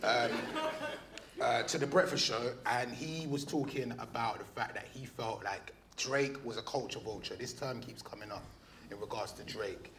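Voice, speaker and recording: A man leads a live podcast chat from a stage, speaking at an average pitch. He laughs easily and throws loud, excited questions out to the audience, with a faint hiss of noise behind him. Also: moderate pace. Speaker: podcast host.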